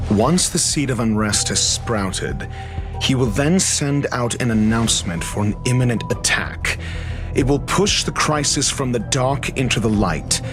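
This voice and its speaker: An actor playing an arrogant, cool-headed scholar in a video game, reasoning in a measured, theatrical way. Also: male voice, medium pitch, close to an everyday voice. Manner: measured, theatrical, self-assured. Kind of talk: video game dialogue acting. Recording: clear music behind the voice.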